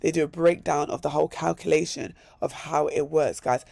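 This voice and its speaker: A hoarse man vlogging a casual screen-share walkthrough, upbeat and repeating words in threes. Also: a little high, steady tone, fairly quick, darkish tone, no echo. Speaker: crypto vlogger.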